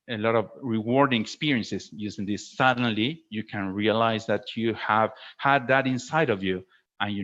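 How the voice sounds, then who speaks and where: A man's voice: fairly low pitch, fairly bright tone. A man working as a teacher trainer answers questions in an online webinar, explaining and often checking with "okay?". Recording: dull, lo-fi online call audio.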